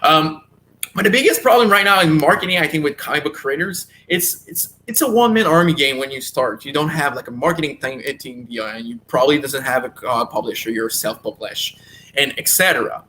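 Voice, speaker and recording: Eager French-Canadian man, bright voice. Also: on the high side, brisk, slight background noise, poor audio. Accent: Quebec French. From Quebec. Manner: eager, repeats words while searching for English. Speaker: guest comic creator. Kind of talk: casual interview talk.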